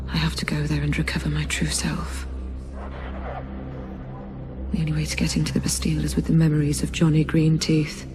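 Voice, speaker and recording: A woman playing a brooding young rebel heroine in a video game, narrating her doubts in a restrained, introspective way. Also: deep voice. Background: prominent music.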